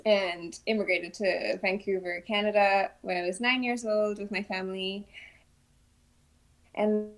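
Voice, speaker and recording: A woman from Trinidad in her thirties talks through her background in a relaxed interview. She speaks softly and thoughtfully, with frequent ums and pauses, at an average pitch. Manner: soft-spoken, many ums, thoughtful. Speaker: guest, principal ballet dancer. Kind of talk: casual interview answers.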